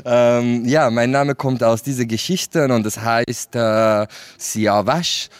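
A man's voice: medium pitch, slow, smooth, no room sound. A man, talking as a guest in a casual, hesitant way with many fillers and laughs. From Kurdish region of Iran. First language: Kurdish. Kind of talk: casual interview talk.

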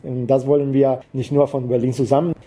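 Very dark-voiced man. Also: mid-range voice, little pitch movement, fairly quick. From Costa Rica. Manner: hesitant, frequent "äh" fillers, non-native grammar. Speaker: activist guest. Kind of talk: radio interview answers.

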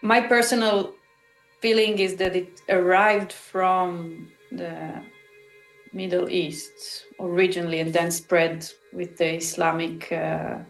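A woman's voice: on the low side, steady tone, takes it slowly, reverberant, faint music behind, rough recording. Israeli-born woman musician, hesitant speech. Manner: thoughtful, hesitant, with many restarts. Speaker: musician guest. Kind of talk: interview answers.

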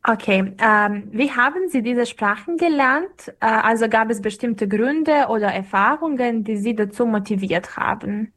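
A woman's voice: on the high side, unhurried, few pauses, a bit bright. Young woman asking polite questions. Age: young adult. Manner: polite, with short fillers. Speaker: student interviewer. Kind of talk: reading interview questions.

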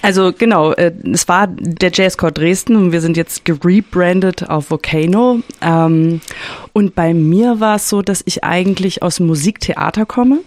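A woman's voice: fairly low pitch, moderate pace, darkish tone. A woman, a choir singer, talking casually as a guest in an interview.

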